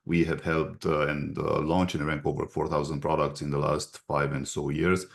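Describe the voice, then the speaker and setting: A man from Romania with a Romanian accent, explaining advice as an interview guest in a halting, instructive way. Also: a bass voice, level tone, creaky. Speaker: guest expert, agency representative.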